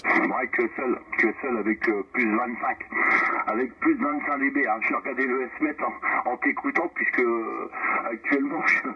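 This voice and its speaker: A man's voice: medium pitch, normal speed. A man chatting casually as an amateur radio operator, repeating his phrases, heard over a radio transmission. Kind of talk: casual radio contact chat. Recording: narrow phone-like radio band, noisy.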